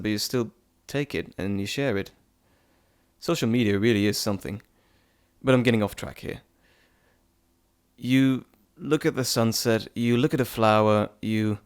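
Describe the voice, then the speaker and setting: A man voicing a playful, fond male messenger god in an intimate audio roleplay, chatty and teasing with theatrical pauses. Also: medium pitch, close to an everyday voice. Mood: fond, musing.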